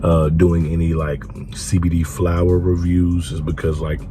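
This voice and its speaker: A young man with a hoarse, congested voice, talking casually in a home product-review vlog with lots of filler words. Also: low-pitched, level tone, moderate pace, over music. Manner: laid-back, frequent filler words.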